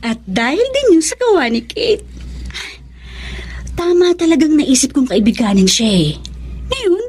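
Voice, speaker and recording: A young student who schemes behind a chummy front. Her high voice swings playfully as she coaxes in breezy Taglish, in radio drama style. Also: very animated, a little slow, pauses often, darkish tone. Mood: smug, scheming. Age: young adult. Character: scheming, chummy female student buttering up classmate. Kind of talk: Filipino radio drama acting.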